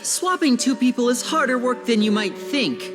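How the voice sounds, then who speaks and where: Showy young stage magician. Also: male voice, very high-pitched, animated, moderate pace. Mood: playful. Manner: theatrical, teasing. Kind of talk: game cutscene dub. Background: music score.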